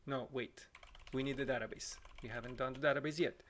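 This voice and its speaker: A man in his thirties from Costa Rica with a Spanish accent, walking through live code at home on a boom mic, apologetic and self-correcting, his throat a little scratchy. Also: fairly even intonation, brisk. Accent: Costa Rican Spanish. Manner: apologetic, talks while typing, self-correcting. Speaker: livestream coding instructor. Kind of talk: live coding walkthrough. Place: small room at home. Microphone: boom mic on arm.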